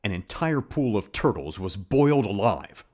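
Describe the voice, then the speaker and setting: A man's voice: lowish voice, sing-song, normal speed, a little mellow. A man playing a flamboyant, gossipy and theatrical social media devotee in a comic audio drama. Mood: dramatic explaining.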